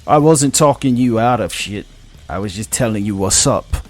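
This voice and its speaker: A man's voice: on the low side, lively intonation, normal speed, a little mellow. Man playing a cocky, blunt male mech pilot. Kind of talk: audio story character dialogue.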